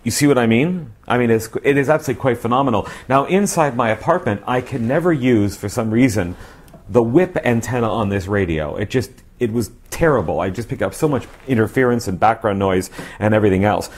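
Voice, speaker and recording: A man's voice: mid-range voice, lively intonation, fairly quick. A middle-aged man giving a casual, emphatic product review to camera, repeating phrases for stress, on a lapel mic in a living room. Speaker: radio reviewer. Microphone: clip-on lapel mic.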